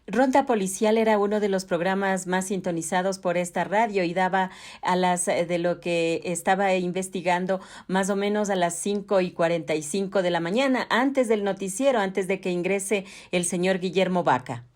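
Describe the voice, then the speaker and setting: A woman's voice: mid-range voice, moderate pace, a little mellow. A woman with an Ecuadorian accent, asking questions conversationally as an interviewer.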